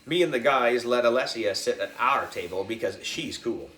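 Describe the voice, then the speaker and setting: A man narrating a children's book in an animated storytelling style. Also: mid-range voice, normal speed, echoey room. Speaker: audiobook reader.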